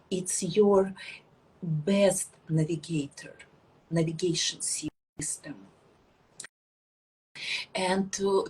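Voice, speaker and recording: A woman's voice: medium pitch, darkish tone, husky, low-quality recording. A woman co-hosting a spirituality livestream, talking informally to live listeners and acting out what other people say. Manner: acts out other people's remarks in quoted dialogue.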